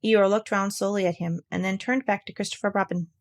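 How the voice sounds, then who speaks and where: A woman's voice: mid-range voice, fairly even intonation, brisk. A woman reads a children's storybook aloud in a mid-range voice. It is scripted narration, delivered as a story read to listeners. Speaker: storybook reader.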